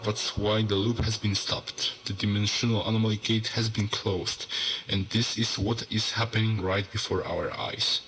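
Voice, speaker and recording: A man playing a sober narrator who lays out an ominous theory in a measured, expository horror narration. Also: fairly low pitch, flat delivery, fairly bright tone, rough recording. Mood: grave, explanatory. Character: male narrator soberly presenting a theory of apocalyptic anomalies. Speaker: narrator of an analog horror video. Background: background music.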